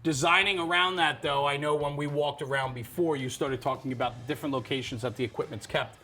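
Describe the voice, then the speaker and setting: A man's voice: a little high, fairly even intonation, fast talker, pushed and bright, soft background music. A man hosting a walkaround interview, speaking enthusiastically and affirming points as he recaps before asking. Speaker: interviewer and host. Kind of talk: on-site walkaround interview about a fire truck.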